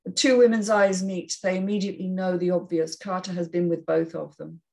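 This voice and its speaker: A woman's voice: on the low side, flat delivery, a little fast, creaky, dark tone. A woman narrating and hosting a script reading, reading out screenplay stage directions. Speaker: narrator and panel host.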